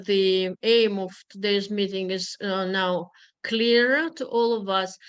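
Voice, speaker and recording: A woman hosts an online meeting in a mid-pitched voice. She speaks politely but halts often. The sound is from a video call. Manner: polite, hesitant with fillers. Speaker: moderator.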